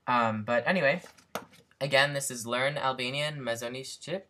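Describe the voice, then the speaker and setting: A young man talks through a book review at home, loose and rambling, forever restarting his sentences. His voice is bright and forward, with a middle pitch. A built-in computer mic at his desk picks him up. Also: normal speed, slight background noise. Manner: chatty, rambling with many fillers and restarts. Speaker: language learning vlogger reviewing a book. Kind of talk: casual unscripted review. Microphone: built-in computer webcam mic.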